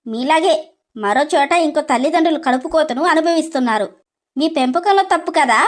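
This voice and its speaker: A woman's voice: high-pitched, animated, fairly quick. A woman voicing an earnest, clever young woman in a story, speaking firmly. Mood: firm, reproachful.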